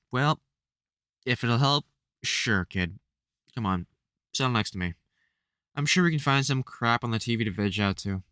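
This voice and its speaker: A man playing a soft-hearted, protective vampire hunter mentor in an intimate audio roleplay, speaking fondly and hesitantly with wry exasperation. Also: lowish voice, smooth, dry recording, studio quality. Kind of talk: intimate audio roleplay acting addressed to the listener.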